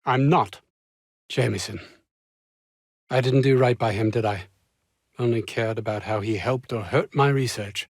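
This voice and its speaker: An actor playing a pompous, ambitious, anxious research scientist in a video game, speaking with haughty, fussy, old-fashioned formal diction. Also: male voice, medium pitch, unhurried, long pauses, dry recording, clean studio sound. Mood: defensive, indignant, then regretful, downcast. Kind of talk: theatrical game dialogue.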